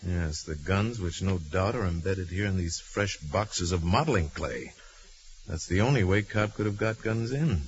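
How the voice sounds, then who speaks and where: A man playing a calm, shrewd detective hero with a measured, commanding delivery in a 1940s radio drama. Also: low-pitched, natural voice. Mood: assured, musing. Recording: muffled hissy old radio recording.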